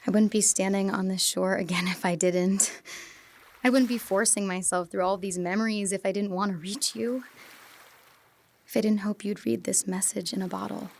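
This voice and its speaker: Girl doing a young woman in a radio play, wistful and teasing, bit of fry in the voice. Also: medium pitch, steady tone, normal speed, a little mellow, slight background noise, close to an everyday voice. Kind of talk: audio drama acting.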